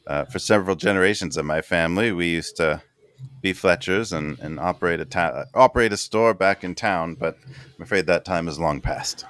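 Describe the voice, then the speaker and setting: A man's voice: deep voice, a little fast, fairly bright tone, clear, resonant tone, no echo. A man voicing a polite, formal male court minister in a tabletop game, speaking courteously with small hesitations. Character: polite stiff male minister, middle-aged.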